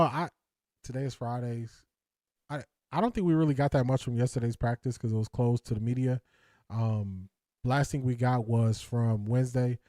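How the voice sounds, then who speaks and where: A man's voice: medium pitch, dark tone, no room sound, studio quality. A man hosting a casual sports talk stream, reading viewer comments and answering with frequent restarts. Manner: hesitant, many restarts and fillers, laughs. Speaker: sports talk show host.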